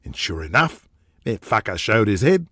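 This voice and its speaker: A man's voice: fairly low pitch, sing-song, moderate pace, a bit bright, slightly rough, no room sound, clean studio sound, exaggerated voice. A man playing a brash, boastful, profane Australian big-game hunter and media tycoon in an audio drama, with an intimidating manner. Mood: boastful reminiscing.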